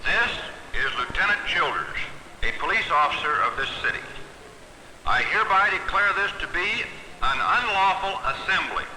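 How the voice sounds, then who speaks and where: A man's voice: sits high, animated, normal speed. A man playing a stern police lieutenant in a 1960s training film, delivering a formal, commanding proclamation through a bullhorn. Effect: bullhorn amplification. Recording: echoing, noisy bullhorn on vintage film.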